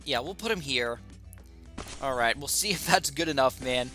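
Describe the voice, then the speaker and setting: A young man with a slightly raspy, sore throat, chatting excitedly while thinking aloud through commentary on his own gameplay. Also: mid-range voice, normal speed, over loud music. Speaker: gaming YouTuber.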